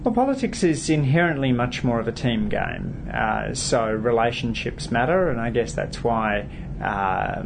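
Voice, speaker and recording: A man, a politician answering interview questions in a measured way with frequent hesitant fillers. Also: medium pitch, vocal fry, background noise.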